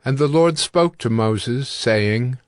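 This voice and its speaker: A man giving solemn, deliberate scripted narration of scripture. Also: medium pitch. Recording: long reverb.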